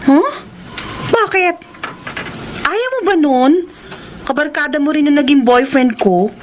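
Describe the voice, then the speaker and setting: A woman's voice: high-pitched, animated, slow pace, a little hiss or hum. An actress playing a coy twenty-year-old provincial woman in a Filipino radio drama, with a Filipino accent. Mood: surprised, worried. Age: young adult. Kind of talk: Filipino radio drama acting and letter narration. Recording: music bed behind the voice.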